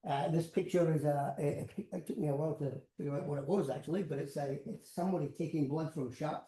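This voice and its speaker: Older scottish guy giving a rambly relaxed science talk, soft dark tone, lots of room echo. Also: fairly high pitch, fast talker. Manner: rambling, anecdotal, repeats words. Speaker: professor giving a science lecture. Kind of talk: informal academic lecture. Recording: roomy, echoing lecture sound.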